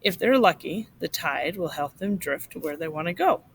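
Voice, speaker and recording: A woman's voice: fairly low pitch, lively intonation, moderate pace, vocal fry, a little hiss or hum. A woman reading the lines of a reserved, matter-of-fact father explaining things to his son in a storybook.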